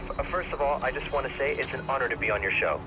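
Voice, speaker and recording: A man's voice: medium pitch, rapid-fire, lightly acted. An actor playing an eager, casual male fan phoning in to a talk radio show in a game. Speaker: caller. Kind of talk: call-in radio game acting. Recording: noisy phone-in line.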